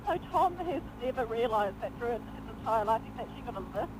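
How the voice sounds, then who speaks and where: A woman's voice: a little high, quick pace. A woman telling funny stories in casual chat, giggling often. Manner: giggly, animated storytelling. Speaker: vlogger. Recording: road noise behind.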